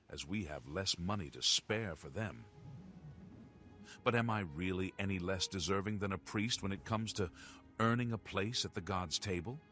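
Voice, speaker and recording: A grave townsman with a very low voice speaks in measured, formal phrases, as in a fantasy role-playing game. Music plays softly behind his pondering delivery. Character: grave, worried male townsman of a fantasy city.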